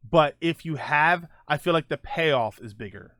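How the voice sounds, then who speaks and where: A man's voice: on the high side, lively intonation, a little slow, bright, forceful tone, no room sound, studio quality. A man hosting a casual live-stream show, answering viewer comments in a loose, rambling way with lots of fillers. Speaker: livestream show host.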